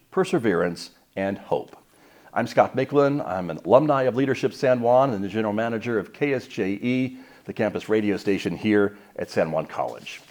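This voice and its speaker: A man with a mid-range voice hosts a podcast from a radio studio. He reads his introduction in a measured, formal way before turning to his guest. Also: medium pitch, a little mellow. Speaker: podcast host, radio station manager. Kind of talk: scripted show intro and interviewing.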